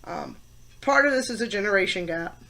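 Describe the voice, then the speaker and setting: A woman in her fifties with a head cold, stuffed up, giving her opinions in a casual class vlog and stressing words between pauses and fillers. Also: mid-range voice, lively intonation, vocal fry, bright, forceful tone, rough recording. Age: 50s. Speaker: college teacher and student.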